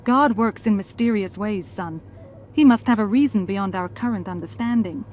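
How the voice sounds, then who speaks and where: A woman's voice: mid-range voice, normal speed, darkish tone, soft background music, lightly acted. A woman playing a thoughtful, gracious scientist and mother in a fan audio drama. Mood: consoling. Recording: faint background noise.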